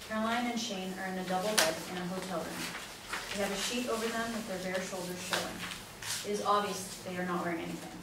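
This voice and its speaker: A woman's voice: mid-range voice, fairly even intonation, quick pace. A woman narrator reading out the stage directions of a play as scripted narration. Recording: very echoey, distant, noisy room recording.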